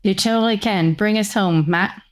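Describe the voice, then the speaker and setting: A woman's voice: medium pitch, moderate pace. A woman hosting a podcast discussion, putting questions to guests and addressing listeners. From Canada. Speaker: podcast host.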